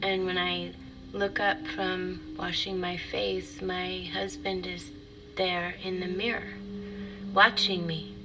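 An actress playing a wistful woman in an intimate audio drama monologue, confessional and halting, with a breathy delivery. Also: fairly low pitch, level tone, poor audio. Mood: intimate, tender. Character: wistful, intimate divorced woman confiding a fantasy.

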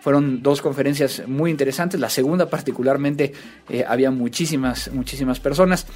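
A man's voice: medium pitch, fairly quick, darkish tone. A man hosting an interview podcast in a conversational style.

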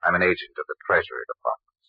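An actor playing a confident, tough but teasing American government agent in a 1940s radio drama. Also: male voice, low-pitched, rapid-fire, no echo. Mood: explaining, assured. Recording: muffled old radio recording.

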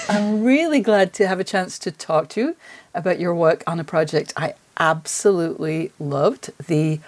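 Lower-pitched woman hosting an interview. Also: moderate pace. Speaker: host, interviewer. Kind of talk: conversational interview.

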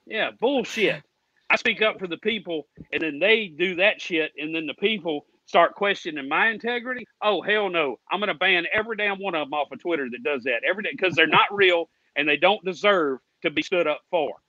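Fired-up, high-voiced American man ranting, muffled audio. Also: a little fast, pushed and bright, no room sound. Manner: combative, indignant, profane; folksy, with "ain't" and "y'all". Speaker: guest caller on a racing fan show. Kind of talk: heated ranting.

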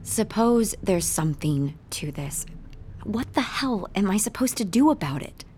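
A woman's voice: medium pitch, lively intonation, measured pace, mellow, a little hiss or hum, lightly acted. An actress playing an anxious, self-doubting young woman artist in an audio drama, with a hesitant, earnest delivery. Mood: embarrassed, doubtful. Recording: music under the dialogue.

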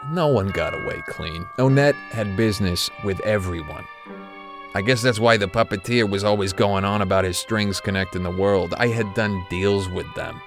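An actor playing an older, guilt-ridden retired corporate executive who confides haltingly, as in an audio drama interview. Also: male voice, lowish voice, over music, close to an everyday voice. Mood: grim, reflective. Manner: hesitant, confessional, halting.

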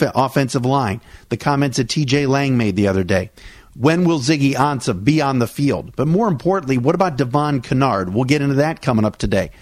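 A man's voice: medium pitch, no room sound. A man, hosting a solo sports podcast in a chatty, conversational way, back on air after vocal cord surgery. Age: 40s. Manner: chatty, conversational, with frequent "uh" fillers. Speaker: podcast host.

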